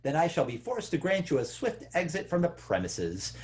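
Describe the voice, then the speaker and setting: A man's voice: a little high, sing-song, normal speed, darkish tone, a little hiss or hum. A man playing a smug, sneering club-owner villain in a script table read, with a mocking, theatrical, condescending delivery. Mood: menacing, grandiose.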